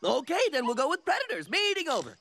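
A man's voice: very high voice, wildly swooping pitch, quick pace, full character voice. A man voicing a friendly, dim-witted and naively confident young jungle man in exaggerated cartoon style, talking in broken grammar. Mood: earnest. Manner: speaks of himself in third person, broken grammar. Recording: cartoon music underneath.

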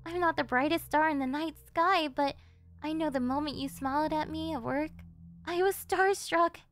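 A high-pitched yandere delinquent girlfriend, acted by a woman for an ASMR audio roleplay. She is clingy and doting, pleading sweetly with a possessive, threatening edge. Music plays behind her voice. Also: moderate pace, a bit bright. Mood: doting, wistful. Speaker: voice actress.

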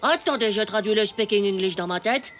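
Woman as a boastful ten-year-old boy, cheeky, slangy, scheming, cartoon dialogue, clear music behind. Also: mid-range voice, brisk, lightly acted. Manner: cheeky, slangy, mock-confident. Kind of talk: French animated cartoon dialogue.

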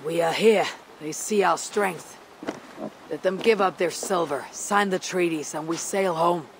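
A woman playing a stern, weary Viking warrior leader in a video game, grave and measured. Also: lowish voice, background noise. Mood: firm. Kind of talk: dramatic game cutscene acting.

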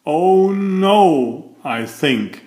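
Older guy reading kids books out loud, takes his time, roomy echo. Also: on the high side. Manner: slow, deliberate, expressive phrasing. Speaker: children's book reader and host. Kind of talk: expressive read-aloud storytelling.

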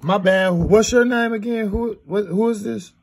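A man with a husky voice in a casual phone chat, slangy and teasing. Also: sits high, normal speed, a little mellow. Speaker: prank-minded call recipient.

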